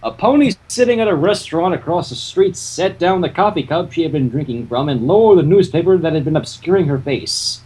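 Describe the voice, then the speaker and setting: A man's voice: a little high, expressive, a little fast, hardly any pauses, a little mellow, husky, poor audio. A man co-hosting and narrating a live fan fiction reading, with casual banter between passages. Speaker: narrator and co-host.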